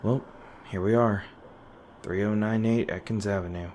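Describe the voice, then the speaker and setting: Man acting a low-voiced, weary monster hunter. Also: fairly even intonation, darkish tone, close to an everyday voice. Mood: subdued, wistful. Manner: halting, sardonic, swearing, reassuring. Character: weary, guarded male monster hunter, gruff but caring. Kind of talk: audio roleplay, one-sided dialogue to the listener. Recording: noisy ambient sound effects behind voice. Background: ambient sound effects.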